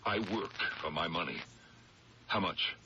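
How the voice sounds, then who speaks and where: A deep-voiced, troubled American attorney. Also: male voice, measured pace, pushed and bright, full character voice. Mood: tense, cornered. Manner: hesitant, strained. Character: troubled, guarded male district attorney under pressure. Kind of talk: 1970s radio drama acting. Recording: dull, slightly noisy old radio recording.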